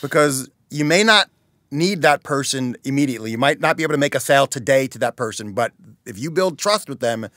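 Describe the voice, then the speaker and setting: A man's voice: medium pitch, lively intonation, brisk, fairly bright tone, dry recording, clean studio sound. A middle-aged man, a guest on a podcast, explaining in earnest, casual interview talk. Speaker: chamber of commerce president, guest. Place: small dark studio with couches. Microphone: studio mic on stand.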